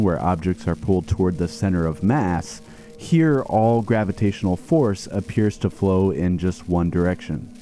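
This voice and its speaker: A man playing an idealistic starship captain and scientist, narrating a formal, measured captain's log in a game video. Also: fairly low pitch, warm tone, lightly acted.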